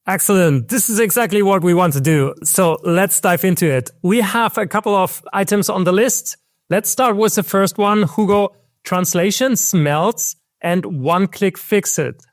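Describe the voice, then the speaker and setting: A man in his forties hosts a tech interview over a video call from his home office. His pitch sits slightly higher than most men's, and the sound is dry with no room echo. He asks crisp, structured questions. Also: normal speed. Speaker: host. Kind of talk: scripted interview host. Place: home office with world map on wall. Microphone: video call webcam.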